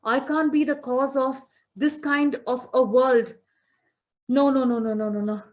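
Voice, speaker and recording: A woman's voice: high-pitched, steady tone, measured pace. A woman voicing a crafty old man who pleads and hesitates to stay alive. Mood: remorseful.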